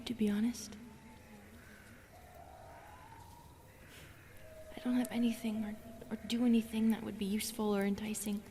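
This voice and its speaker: A shy female forest spirit, acted by a woman, high-voiced and soft, with a breathy delivery that often slips toward a whisper. She is gentle and halting, apologizing for herself as she addresses the listener in a fantasy roleplay. Ambient noise sits behind the voice. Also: lightly acted. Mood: puzzled, unsure. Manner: hesitant, self-effacing, apologetic, halting.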